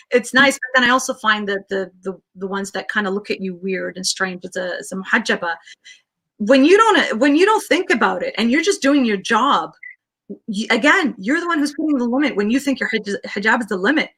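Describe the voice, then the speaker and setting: A woman with a Canadian accent, a counselor answering interview questions in an earnest, quick storytelling manner with frequent restarts. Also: fairly high pitch, expressive, fairly bright tone, slightly rough.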